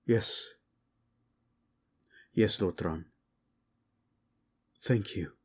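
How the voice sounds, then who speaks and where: A man playing a humble, soft-spoken knight captain in a fantasy audio drama, hesitant and deferential. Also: on the low side, extremely slow, slightly rough, exaggerated voice. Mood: earnest. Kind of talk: fantasy audio roleplay acting.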